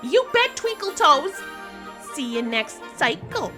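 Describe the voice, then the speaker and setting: Very high, squeaky, cheeky receptionist. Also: female voice, sing-song, slow, over music, exaggerated voice. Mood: cheeky, flirty. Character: squeaky, cheeky, flirty, carol-singing receptionist. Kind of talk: scripted audio comedy drama acting.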